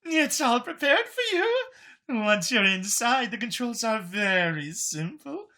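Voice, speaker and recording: A man voicing an excitable female alien inventor, gleeful and eager as she explains things in a science fiction audiobook. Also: extremely high pitch, takes it slowly, pitched above the performer's own voice, exaggerated voice.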